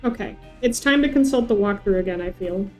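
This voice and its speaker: A woman's voice: medium pitch, expressive, a little fast, darkish tone, faint music behind. A woman streaming a game with casual, playful, sweary chat, often talking to herself. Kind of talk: casual livestream gaming chat.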